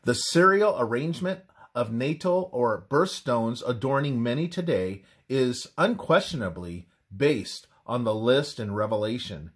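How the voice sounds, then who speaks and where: A man reads a scripted religious text aloud in a measured, deliberate manner, pausing between phrases. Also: medium pitch, breathy edge. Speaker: reader of a religious presentation. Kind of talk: scripted devotional narration, read aloud.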